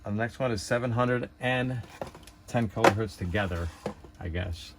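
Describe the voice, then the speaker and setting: A man's voice: fairly low pitch, moderate pace, background noise. A man giving a casual hands-on tutorial, thinking aloud in an unpolished, hesitant way. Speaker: hobbyist demonstrator.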